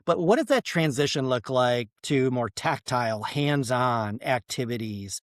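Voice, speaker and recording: Mid-pitched male podcast interviewer. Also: expressive, a little slow, no room sound, studio quality. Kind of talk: conversational interviewing.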